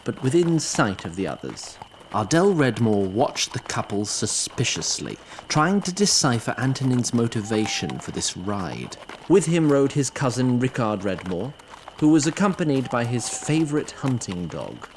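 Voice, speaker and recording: Lively male fantasy narrator. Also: mid-range voice, slight background noise. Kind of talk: scripted fantasy story narration.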